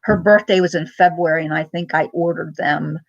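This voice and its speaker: A middle-aged woman chats loosely with her viewers from home, full of ums and side remarks. Her voice sits on the low side. The recording is dry and a bit dull. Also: fairly even intonation, brisk, dry recording. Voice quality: loud voice. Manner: rambling, many ums, greets viewers by name. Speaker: vlogger. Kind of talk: casual live-stream chat.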